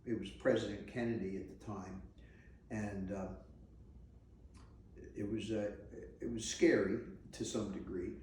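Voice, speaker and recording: A man in his sixties from New Jersey, recalling his past in a rambling, halting way during an unscripted interview. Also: on the low side, fairly even intonation, darkish tone. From New Jersey, USA. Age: 60s. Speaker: interviewee sharing memories. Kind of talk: unscripted oral-history recollection. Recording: echoey room, mic at a distance, faint noise.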